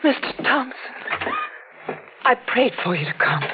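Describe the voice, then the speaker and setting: A woman's voice: fairly high pitch, very animated, measured pace, heavy background noise. An actress playing a desperate young woman pleading earnestly and emotionally in a 1940s radio drama. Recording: muffled old radio recording with music.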